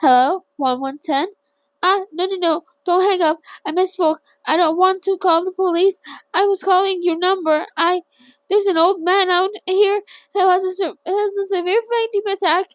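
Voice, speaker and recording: A woman putting on a shrill spoiled young woman. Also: fairly even intonation, moderate pace, dry recording. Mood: panicked. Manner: stammering, squealing.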